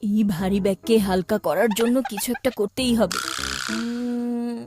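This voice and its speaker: A woman's voice: on the high side, steady tone, unhurried, background noise, close to an everyday voice. A woman voicing a crafty, complaining young child in a Bangla cartoon dub. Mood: crafty, pleased.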